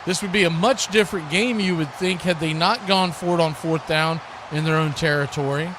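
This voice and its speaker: A man's voice: a little high, brisk, noisy background. An American man giving live play-by-play football commentary as host, in short, clipped present-tense calls.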